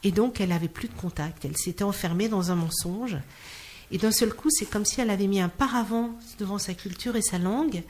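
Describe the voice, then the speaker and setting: A woman's voice: mid-range voice, brisk. A woman from Clermont-Ferrand in France speaking as an interview guest, telling anecdotes expressively and acting out dialogue. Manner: expressive storyteller, acts out quoted dialogue. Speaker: interview guest, singer working in hospitals. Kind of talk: animated anecdotal interview talk.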